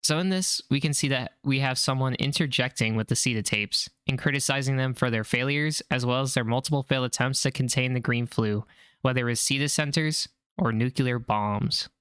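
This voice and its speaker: A man's voice: mid-range voice, a little fast, a bit bright, clean tone, no room sound. A man narrating explainer commentary on horror videos.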